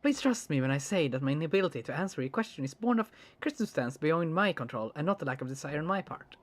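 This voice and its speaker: A streamer reading the lines of a polite, awkward male alien who speaks in formal, halting phrases. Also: medium pitch, lively intonation, close to an everyday voice. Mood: courteous. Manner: stiff, overly formal phrasing with hesitant restarts. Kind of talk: reading game dialogue aloud during a let's play.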